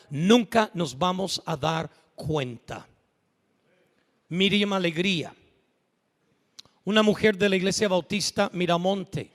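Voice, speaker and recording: A preacher with a high, bright voice delivers an emphatic sermon, swinging from hushed lines up to full shouts. The sound rings out with the long reverb of a church sanctuary. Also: male voice. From United States. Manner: emphatic, rising to shouts at peaks.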